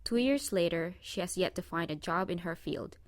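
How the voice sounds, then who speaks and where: A woman narrating a scripted presentation in a measured, read-aloud manner. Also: on the low side, lively intonation, dry recording.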